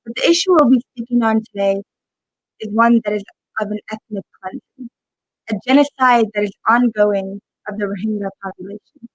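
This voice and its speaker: Talk-show host, woman, earnest and measured, average pitch, dry recording. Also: no echo, poor audio. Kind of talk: hosting a web talk show.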